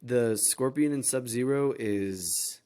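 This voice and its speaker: A man's voice: medium pitch, slow, dark tone. A man chatting casually as a co-host on a podcast.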